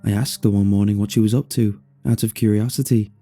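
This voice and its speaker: A man narrating a scripted first-person horror story in a measured, expressive way over music. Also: fairly low pitch. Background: faint music.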